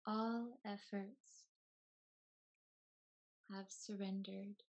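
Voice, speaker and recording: A woman yoga teacher leading a guided meditation in a soothing, unhurried way, in short phrases with long pauses between them. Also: mid-range voice, little pitch movement, low-quality recording. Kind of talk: slow guided meditation narration.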